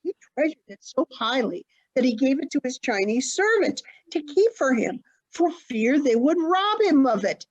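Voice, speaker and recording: A woman's voice: high voice, sing-song, moderate pace. Woman acting forgetful genteel old lady, muffled audio. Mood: earnest reminiscence. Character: elderly forgetful genteel lady, mother of a grown son. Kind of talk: stage acting in an online one-act play. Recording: dull lo-fi online call audio.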